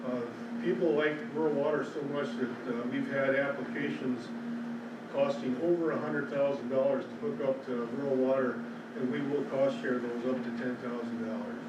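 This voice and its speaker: A man's voice: medium pitch, fairly even intonation, moderate pace, muffled recording. A man with an Upper Midwestern American accent giving a plain presentation at a public hearing, with some fillers. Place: large public meeting room. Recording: roomy hall, far from mic, loud noise.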